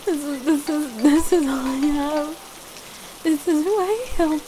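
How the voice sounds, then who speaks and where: A woman acting a distressed, frightened young woman in an intimate audio roleplay, pleading and apologetic, haltingly repeating herself. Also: high voice. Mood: tearful, apologetic. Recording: steady rain noise over the voice. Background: rain.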